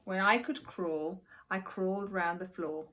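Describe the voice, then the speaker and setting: A woman reading a picture book aloud to young children like a primary teacher, expressive and deliberate, with chatty asides. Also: medium pitch, a little hiss or hum. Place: at home.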